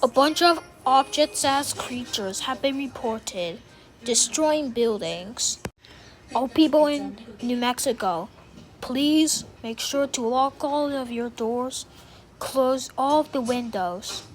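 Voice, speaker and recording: A female announcer delivers a scripted emergency alert in a high-pitched voice. Her reading is extremely slow and deliberate, with formal, instructional phrasing throughout. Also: poor audio.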